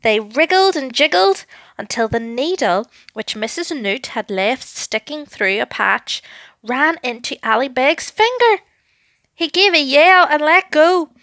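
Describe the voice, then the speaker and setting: A woman narrating a children's story with an expressive, deliberate, dramatic delivery. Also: high voice, no room sound.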